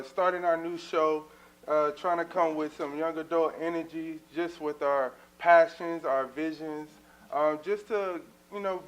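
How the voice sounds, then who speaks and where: A young man hosting a church talk show, his voice sitting a little high for a man. He talks earnestly and leans on plenty of "uh" and "um" between thoughts. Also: rough recording. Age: 20s. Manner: earnest, many fillers. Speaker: talk show host and minister.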